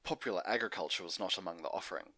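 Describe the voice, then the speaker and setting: A man's voice: deep voice, rapid-fire, sharp tone, dry recording. A man narrating a fan fiction story as an unedited read-aloud.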